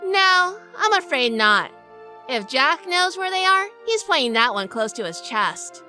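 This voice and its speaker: A woman's voice: sits high, sing-song, unhurried, pushed and bright, faint music behind, exaggerated voice. A woman playing a shrewd, sassy, hardboiled heroine narrating a detective audio drama. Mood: shrewd, cool. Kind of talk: first-person detective drama acting and narration.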